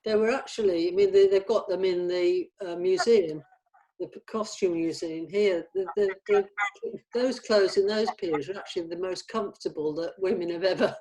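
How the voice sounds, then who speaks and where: A woman's voice: on the low side, moderate pace, dark tone, rough recording. Woman in a casual group meeting. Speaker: production organiser at a table read.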